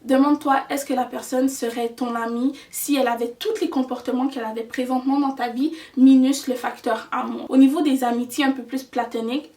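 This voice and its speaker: A woman's voice: sits high, a little mellow, distant mic. A young woman giving earnest, chatty life advice in a vlog, slipping in English words. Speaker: vlogger.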